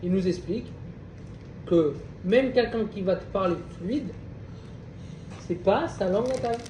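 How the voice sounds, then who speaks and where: A man with a high voice leads a study class in a roomy space. He stands some way off the mic, with a faint hum behind him. He reads a passage, unpacks it, and keeps asking his listeners whether they follow. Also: a little slow, dark tone. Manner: reads a passage, then explains it with questions to the group. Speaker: Torah class teacher. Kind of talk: reading Hebrew text and explaining in French. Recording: echoey room, distant mic, faint background noise.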